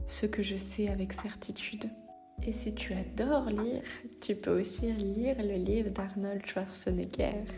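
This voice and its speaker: Woman giving upbeat advice over music. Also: mid-range voice. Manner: direct, addresses listener informally, occasional hesitations. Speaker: podcast host. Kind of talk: motivational solo podcast talk.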